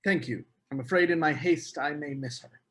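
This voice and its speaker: An actor playing an anxious, evasive gentleman lodger, polite and formal, in a screenplay table read. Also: fairly high pitch, moderate pace, breathy edge. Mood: flustered.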